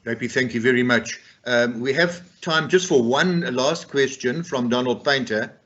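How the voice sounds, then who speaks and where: A man's voice: mid-range voice, moderate pace, a bit bright. Man moderating questions, muffled audio. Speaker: event moderator. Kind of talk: reading audience questions. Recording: dull lo-fi audio.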